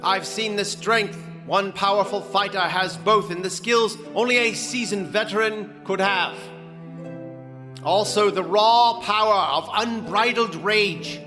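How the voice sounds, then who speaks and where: A man's voice: high-pitched, unhurried, sharp tone. An actor playing a proud fantasy duke in an audio drama, formally proclaiming to his citizens. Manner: formal, declamatory. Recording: long hall reverb over music.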